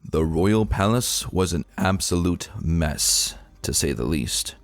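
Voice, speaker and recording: Low-voiced man narrating dark fantasy. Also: lively intonation, slow pace, no echo, clean studio sound. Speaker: narrator reading fan fiction. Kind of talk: dramatic scripted narration of a dark fantasy story. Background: music.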